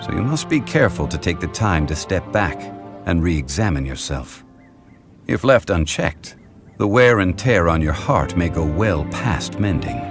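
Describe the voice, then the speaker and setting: A man voicing a calm, wise male consultant who speaks in a measured, formal way, in a game scene. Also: low-pitched, full character voice. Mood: reflective. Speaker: consultant to a funeral parlor. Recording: game audio with music behind.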